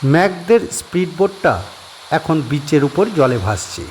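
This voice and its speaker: A male narrator reading a scripted horror story in a steady, descriptive way. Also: a little high, unhurried, a little hiss or hum.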